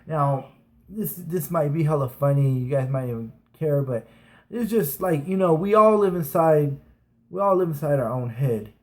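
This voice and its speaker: A man with a slightly higher than average voice tells personal stories on his vlog. He talks loosely, circling back with fillers and restarts. The delivery is casual and chatty throughout. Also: animated, moderate pace. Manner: rambling, frequent restarts and fillers, laughs while telling. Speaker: vlogger. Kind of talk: casual storytelling.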